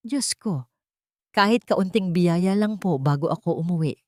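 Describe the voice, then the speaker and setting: A woman's voice: on the low side, unhurried, darkish tone, no echo, clean studio sound, lightly acted. Woman voicing an earnest, protective poor scavenger. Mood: pleading. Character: poor kind-hearted scavenger out in a storm.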